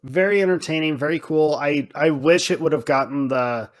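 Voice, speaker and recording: A man's voice: fairly high pitch, moderate pace. Guy chatting movies on a podcast, casual, really emphatic, says stuff twice to stress it. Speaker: podcast co-host. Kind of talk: casual film discussion.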